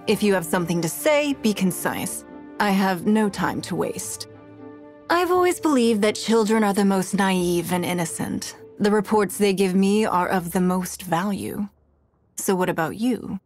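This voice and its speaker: An elegant calculating rich lady boss from a video game, talks slow and composed, mid pitch. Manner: composed, refined, deliberate, coolly authoritative. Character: poised, shrewd, wealthy woman leader, elegant and calculating. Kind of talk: video game character voice lines.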